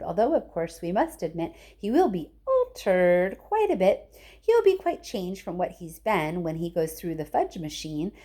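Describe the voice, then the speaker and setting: Woman as tiny mocking chanters, sing-song and lively. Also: a little high. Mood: gleefully moralizing. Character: chorus of tiny, mocking, moralizing factory workers.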